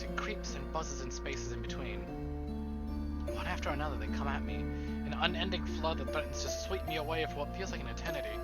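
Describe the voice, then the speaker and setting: A haunted, jealous narrator from a gothic horror visual novel, played by a man, tells the story in a brooding, theatrical first person. The voice sits a little high for a man and moves deliberately, with loud music playing behind it. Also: natural voice. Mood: haunted, tense. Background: loud game music.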